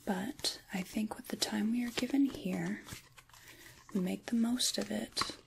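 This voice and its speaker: An ancient witch acted by a woman murmurs in a slow, breathy half-whisper with some creak in it. She is kindly and wry, musing gently as in an ASMR fantasy role-play, over a faint background hiss. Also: mid-range voice. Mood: soothing, reflective. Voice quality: breathy, whispery soft speaking.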